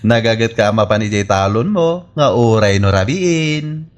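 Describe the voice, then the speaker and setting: An actor with an Ilocano accent playing a needling, skeptical farmer friend in a radio comedy drama. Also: male voice, on the low side, expressive, unhurried. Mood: mocking, needling.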